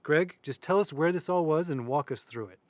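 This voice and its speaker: A man's voice: on the high side, brisk, a little mellow, dry recording, natural voice. An actor playing a level-headed man who keeps the peace, speaking in a reasoning, conciliatory way in an audio drama. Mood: reasonable, reassuring. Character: level-headed male peacemaker in a paranormal group.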